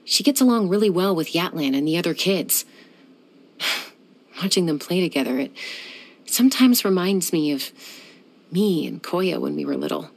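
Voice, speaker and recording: A woman's voice: fairly low pitch, lively intonation, moderate pace, gravelly, pauses often. A young woman voicing a self-assured, dryly teasing gunslinger who flies and settles disputes, in video game voice lines. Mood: cool. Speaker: game character.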